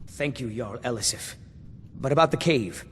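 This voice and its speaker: A man's voice: moderate pace, close to an everyday voice. Man playing an anxious villager in an echoing hall. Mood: pleading. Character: anxious, pleading male villager petitioning a ruler. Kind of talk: fantasy game character acting. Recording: reverberant hall, faint rumbling noise.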